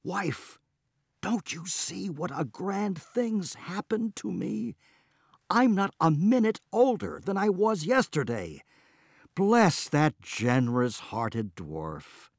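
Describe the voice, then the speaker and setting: A man's voice: sits low, wildly swooping pitch, measured pace, hardly any pauses, husky, no echo, clean studio sound, voice pushed down, exaggerated voice. A man voicing a boastful, foolish peasant husband in a storybook reading. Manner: boastful, blustering.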